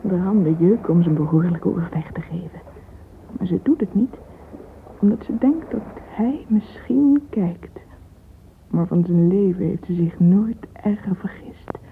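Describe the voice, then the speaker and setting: Self-absorbed young woman, radio play. Confiding, breathy, whispery inner voice, thin noisy recording. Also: fairly low pitch, lively intonation, a little slow. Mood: catty, scornful. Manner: intimate, confiding. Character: dreamy, vain, catty romantic young woman musing privately. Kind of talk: radio drama interior monologue. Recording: tinny, hissy old radio recording.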